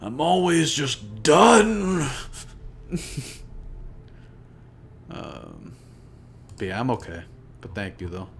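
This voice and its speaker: A man with a deep, low voice, chatting casually while modding on a live stream, talking to himself and sounding tired and flat. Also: very slow, creaky, pauses often, a little hiss or hum. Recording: music playing behind.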